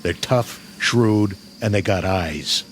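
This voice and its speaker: Weary low-voiced army sergeant. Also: male voice, slow, slight background noise. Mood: blunt, dry. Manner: plain-spoken, folksy, wry. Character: weary, battle-hardened army sergeant, blunt and skeptical. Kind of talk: radio drama acting.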